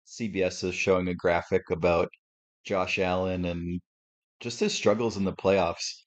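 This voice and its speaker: A man with a deep, sportscaster-like radio voice, hosting a relaxed live reaction stream from home and thinking aloud as he talks. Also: unhurried. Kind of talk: casual live game reaction commentary. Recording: slightly muffled lo-fi audio.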